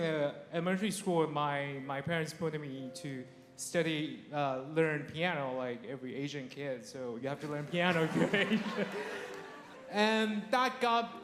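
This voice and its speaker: Chatty man in an echoey hall. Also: a little high. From Taiwan. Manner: chatty, laughs often, many restarts and fillers. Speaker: guest speaker. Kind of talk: personal testimony to a congregation. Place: church sanctuary. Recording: echoey hall with faint noise.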